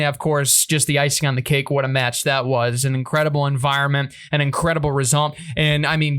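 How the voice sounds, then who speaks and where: A young man hosting a sports talk show on the radio, chatting in an upbeat, energetic way. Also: a little high.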